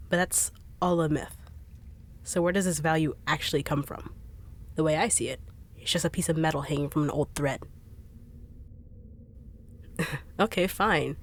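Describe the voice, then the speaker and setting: Woman voicing a blunt, sarcastic bodyguard. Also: deep voice. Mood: dismissive. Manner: fast-talking, no-nonsense, dry and teasing. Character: blunt, sarcastic female bodyguard treasure hunter, adult. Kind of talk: audio roleplay drama.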